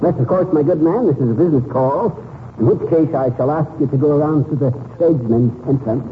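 An actor playing a bumbling American husband putting on pompous, mock-genteel airs with British flourishes, in 1940s radio comedy style. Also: male voice, on the high side, fast, close to an everyday voice. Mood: haughty, affected. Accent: American, with affected British flourishes. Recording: noisy, tinny 1940s radio recording.

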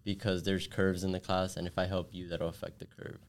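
A young man from Miami, a student guest, talking through his story in a relaxed, thoughtful way with lots of "like". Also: low voice, a little fast, clean tone. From Miami, Florida. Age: young adult. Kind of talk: casual interview chat.

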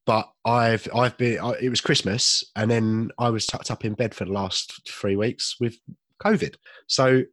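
A man's voice: medium pitch, steady tone, unhurried, fairly bright tone, no room sound. A man from the UK, co-hosting a casual podcast chat, talking in a chatty, self-deprecating way and laughing often, a little chesty after being ill. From United Kingdom. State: slight cough, a bit chesty. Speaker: podcast co-host.

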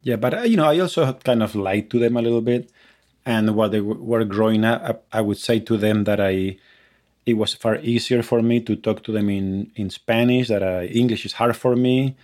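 A man in casual conversation, speaking in a measured, thoughtful way. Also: fairly low pitch, a little mellow.

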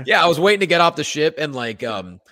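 A man's voice: fairly high pitch, big pitch swings, brisk, bright, forceful tone. A man telling a comic story on a casual podcast, slipping into voices for the people he quotes. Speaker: podcast host, comedian.

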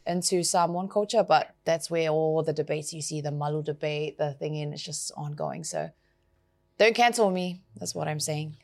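A woman hosting a podcast, chatty and quick, with playful asides between serious points. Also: sits low, animated. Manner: quick, chatty, laughs easily. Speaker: podcast host. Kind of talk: casual podcast chat.

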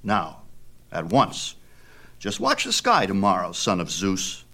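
A man's voice: low-pitched, huge pitch swings, slow, gravelly, pushed and bright, exaggerated voice. A man voicing a proud, defensive young boy, earnest and stubborn, in a mythology storybook. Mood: stung, insistent. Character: proud, defensive boy bluffing about his divine father.

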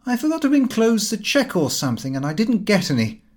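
A man voicing a brash, excitable young son, flippant and slangy, in an audiobook. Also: high voice, quick pace, pitched above the performer's own voice, full character voice. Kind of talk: audiobook character voice.

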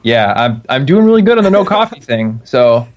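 Man, podcast host, mid pitch, upbeat, self-mocking, laughs a lot. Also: big pitch swings, normal speed. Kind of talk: casual chat.

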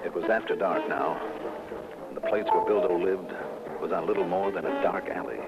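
An actor playing a probing private investigator with a clipped, urgent manner, in a 1960s radio detective drama. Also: male voice, low-pitched, normal speed, over loud music. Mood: grim narration. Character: brisk, probing male private insurance investigator. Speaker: lead detective and narrator. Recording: hissy, dull old radio recording.

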